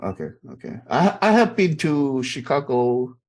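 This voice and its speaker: A man's voice: mid-range voice, very animated, measured pace, a little mellow. A middle-aged man from Taiwan, teaching a university class over a video call with many fillers and repeated okays. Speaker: university instructor. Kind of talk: online class, informal chatting.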